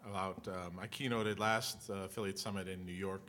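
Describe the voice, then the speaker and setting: A man's voice: sits low, steady tone, normal speed, pushed and bright. A man introducing himself on a conference panel in a casual, joking way. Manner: jokey, casual.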